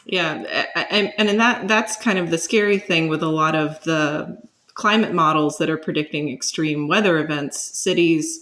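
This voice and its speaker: A woman with a slightly low voice speaks as an expert podcast guest. She explains things in a measured, academic manner, carefully qualifying each point. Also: fairly bright tone. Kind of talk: explanatory interview talk.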